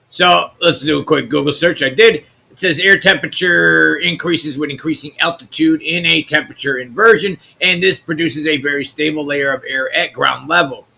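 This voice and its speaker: A man from the US Midwest with a naturally deep voice, hosting a channel and talking loosely through his screen, trailing off into mutters. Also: creaky, bright, forceful tone, slightly rough. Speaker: channel host. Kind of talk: rambling screen-share commentary.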